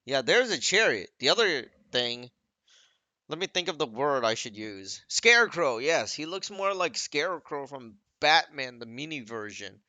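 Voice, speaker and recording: A man's voice: mid-range voice, sing-song, moderate pace, pushed and bright, no room sound. A man giving casual, off-the-cuff game commentary, rambling and often second-guessing his own wording. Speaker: gaming video creator.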